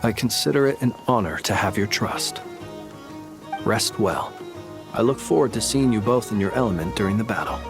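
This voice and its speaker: A man playing a courteous, reserved ally in a video game cutscene, speaking in measured, formal phrases over music. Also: on the low side, expressive. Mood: composed. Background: background music.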